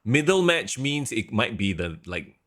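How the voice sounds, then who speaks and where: Lively, explaining podcast guy. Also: medium pitch, measured pace. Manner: explains things at length, animated and chatty. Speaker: podcast co-host. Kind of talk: casual chat.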